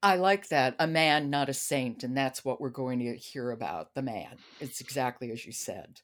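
A woman's voice: a bass voice, animated, moderate pace. A woman hosting a podcast in a conversational book discussion. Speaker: podcast host.

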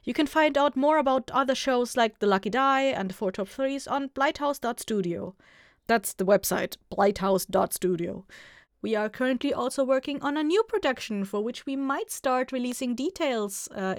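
A woman's voice: fairly high pitch, animated, normal speed, no room sound, clean studio sound. A woman podcast host giving informal announcements to listeners after an episode. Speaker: show host, writer and producer.

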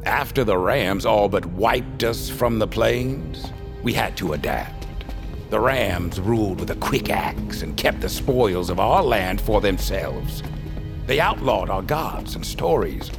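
Proud grave bandit chief, man, slow talker w swooping delivery, audio drama. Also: on the low side, lively intonation, vocal fry, music in the background, full character voice. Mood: solemn storytelling. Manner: grave, deliberate, solemn. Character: stern, proud male leader of outlaw band of thieves. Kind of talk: dramatic audio fiction storytelling.

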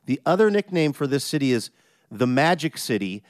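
A man's voice: mid-range voice, sing-song, fairly quick, a little mellow, dry recording, studio quality. American radio host, man with scratchy voice. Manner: casual, conversational. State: slightly scratchy voice, under the weather. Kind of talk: scripted radio intro, casual banter.

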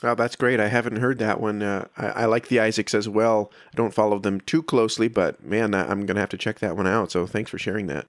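A man interviewing casually, halting and rephrasing his questions as he goes. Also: lowish voice, fairly even intonation, no echo, studio quality.

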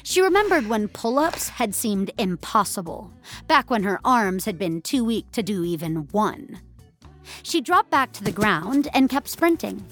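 A woman narrating scripted fiction with measured, expressive storytelling. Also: medium pitch, soft background music.

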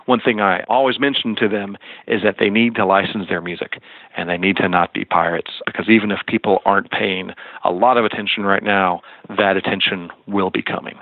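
A man's voice: deep voice, fairly even intonation, brisk, no room sound. A man explaining things as an expert interview guest, heard over a thin, phone-like connection. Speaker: guest, music and podcast lawyer.

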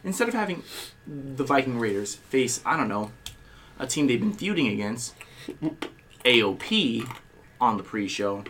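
A man co-hosting a casual podcast, bantering playfully, with a slight tickle in his throat that keeps making him clear it. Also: medium pitch, big pitch swings, a little slow, pauses often, a bit bright, a little hiss or hum. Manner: playful, boastful self-introductions. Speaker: podcast co-host.

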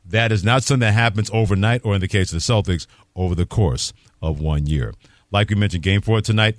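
Low-voiced man hosting sports radio. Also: dry recording, studio quality. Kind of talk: sports talk commentary and show promos.

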